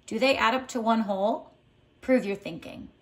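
A woman reading a picture book aloud as a teacher, expressive and deliberate, pausing to set tasks. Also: fairly high pitch. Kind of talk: expressive children's read-aloud with lesson prompts. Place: indoors at home, tiled floor.

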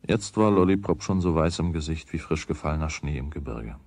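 Deep, husky male storyteller. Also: a little fast, a little mellow, dry recording. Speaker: narrator. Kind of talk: scripted narration of a radio play, storybook telling.

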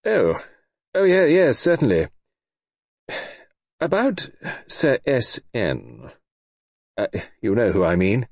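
A man's voice: huge pitch swings, takes it slowly, creaky, pauses often, darkish tone, no echo, heavily acted. A man voicing a fussy, flustered government official in an audiobook, who stammers and hesitates over his words. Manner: hesitant, stammering, higher-pitched. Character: fussy, flustered middle-aged male official. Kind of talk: audiobook character voice.

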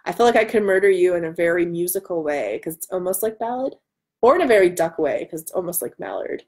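A woman in her thirties hosting a casual live stream from home, loud, excitable and bantering as she answers comments. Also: on the low side, fast. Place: room at home by a large window.

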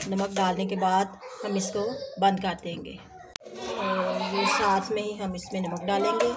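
Woman narrating a home cooking vlog. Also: medium pitch. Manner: homely step-by-step narration while cooking. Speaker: cooking vlogger. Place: home kitchen. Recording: loud kitchen noise. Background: frying, sizzling and stirring sounds.

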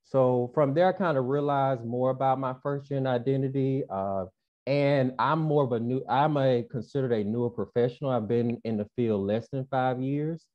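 A young man with a Southern drawl talks earnestly over a video call, his speech dotted with "uh" and "um." He speaks at a medium pitch through earbuds, and the sound is slightly muffled. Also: normal speed. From Mississippi, US South. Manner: earnest, frequent "uh" and "um" fillers. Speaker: featured panel speaker. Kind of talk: video call panel talk. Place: small room indoors.

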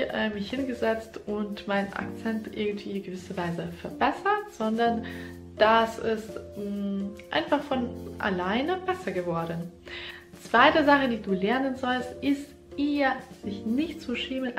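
A woman's voice: a little high, echoey room. A young woman who is not a native German speaker, talking earnestly to the camera about her own experience as a German teacher. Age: young adult. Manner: earnest, slightly non-native phrasing, speaks with hands. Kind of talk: casual talk to camera. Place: small room, indoors. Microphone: camera in front, head-and-shoulders framing.

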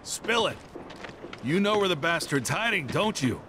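A man's voice: fairly high pitch, big pitch swings, a little slow, background noise. An actor playing a sly gangster henchman in a video game, drawling slang with a mocking, swaggering menace. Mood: angry, threatening.

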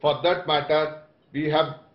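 A middle-aged man with an Indian accent, a party spokesperson defending his position in a TV debate with halting, wordy phrasing. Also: a little high, little pitch movement. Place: small room, indoors, on video call. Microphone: video call. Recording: echoey, dull video-call sound.

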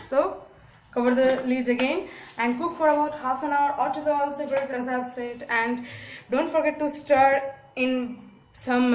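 A woman whose first language is Bengali, calmly walking through a home cooking tutorial with frequent "okay, so" fillers, at her kitchen stove. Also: high-pitched, poor audio. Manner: calm, instructive, many "okay, so" fillers. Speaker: cooking video host. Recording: home recording with clear kitchen noise. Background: clinking pots, pans and cutlery.

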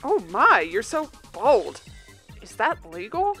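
Woman playing a hesitant, animated young turtle boy. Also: fairly high pitch, slow, soft background music, full character voice. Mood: flustered, wondering. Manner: hesitant, earnest. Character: timid, earnest young male cartoon turtle sidekick. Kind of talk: video game fan voice acting.

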